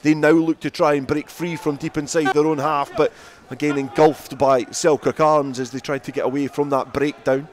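A man's voice: a little high, flat delivery, moderate pace, few pauses, a little hiss or hum. A man giving live play-by-play rugby commentary from the ground.

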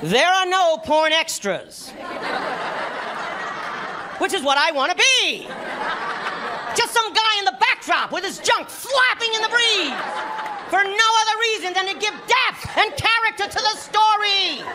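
An older man from New York City doing stand-up comedy, emphatic and animated, performing to a crowd. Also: very high voice, a little slow, long pauses. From New York City, United States. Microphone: stand microphone on stage. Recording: noisy comedy club with audience.

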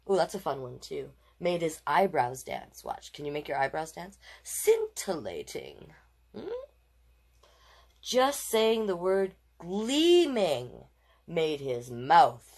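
Woman doing kids storytime, lowish voice that swings around a lot, playful read-aloud with chatty little asides. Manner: playful, encouraging, addresses young listeners directly. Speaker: children's storytime host.

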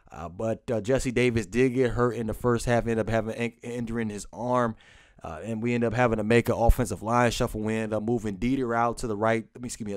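A man giving an unscripted post-game football recap as a fan, informal and halting, repeating phrases for emphasis. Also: medium pitch, darkish tone, dry recording, clean studio sound. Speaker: sports commentary vlogger and football fan.

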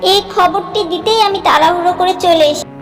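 A woman's voice: extremely high pitch, fast talker. A woman voicing a proud, emotional young wife of a landlord in a cartoon melodrama. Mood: betrayed, anguished. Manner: emotional, dramatic. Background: background music.

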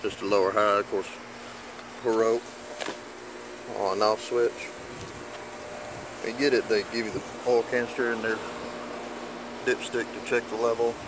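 A man giving a relaxed, rambling review of his gear outdoors, recorded on a handheld phone. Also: lowish voice, unhurried, pauses often, background noise. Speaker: product reviewer. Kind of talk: casual product review. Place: outdoors on a lawn beside a carport. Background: running engine and spraying water.

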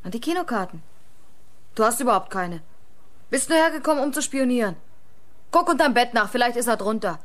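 An actress playing a defiant, exasperated young woman in a German radio crime play, with a brisk, snappish manner. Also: fairly high pitch, expressive, a bit bright.

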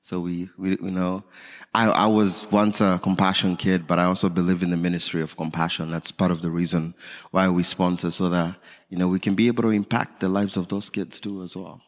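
A man's voice: low voice, flat delivery, fairly quick. A man from Kenya with a Kenyan accent, a guest on stage giving his testimony, earnest and hesitant. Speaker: guest speaker. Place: large church sanctuary. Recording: reverberant church hall.

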